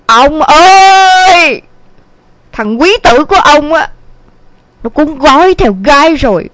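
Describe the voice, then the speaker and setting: Woman acting fiery southern Vietnamese village mother, very animated. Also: sits high, a little slow, low-quality recording. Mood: bitter, upset. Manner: scolding, exclamatory, dramatic. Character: scolding middle-aged village mother, fiery and exasperated. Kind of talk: dramatised audio story acting.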